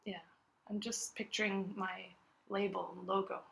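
A woman's voice: mid-range voice, level tone, normal speed, gravelly, roomy sound. A woman co-hosting a podcast, chatting casually and teasing her friend with lots of giggles, recorded in a cold apartment full of moving boxes. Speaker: podcast co-host. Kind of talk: casual chat.

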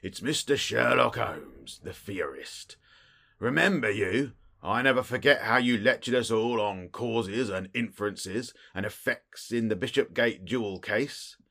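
Man playing a husky, blustering police detective. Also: lowish voice, animated, measured pace, sharp tone, voice pushed up, heavily acted. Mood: blustering surprise. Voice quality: muffled, husky, wheezy. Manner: blustering, short clipped phrases. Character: stout, bluff, self-important middle-aged male police detective. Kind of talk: audiobook character voice.

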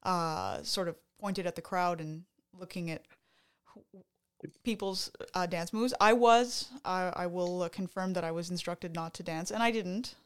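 A woman from Canada co-hosting a podcast, talking excitedly in casual chat and often interrupting herself. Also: fairly low pitch, a little slow, clear, resonant tone. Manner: excited, chatty, self-interrupting. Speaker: podcast co-host.

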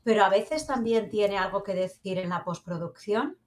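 A woman's voice: medium pitch, normal speed, noisy background. A woman co-hosting a panel chat, curiously asking a question.

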